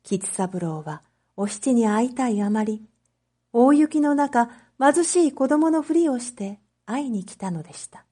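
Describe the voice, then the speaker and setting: A woman with a fairly high, very mellow voice narrates a story. She reads at a slow pace, phrasing each line carefully, as a teacher does for language learners. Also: expressive. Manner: careful, clearly phrased reading. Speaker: teacher narrating read-aloud material.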